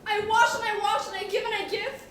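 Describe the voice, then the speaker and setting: A woman's voice: very high-pitched, level tone, a little fast. A woman on stage playing a self-pitying, seductive washing machine that is tired of being perfect. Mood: martyred, self-pitying. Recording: distant mic, echoey noisy hall.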